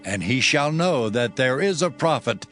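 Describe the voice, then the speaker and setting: An actor playing a solemn, authoritative prophet in a dramatized scripture reading, speaking with deliberate weight. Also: male voice, mid-range voice, expressive, fairly bright tone, soft background music. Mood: solemn, firm.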